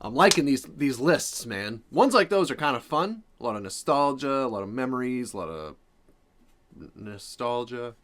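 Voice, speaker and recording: A man's voice: medium pitch, very animated, moderate pace, a bit bright. A man giving casual reaction commentary as the host, informal and approving, often repeating his points.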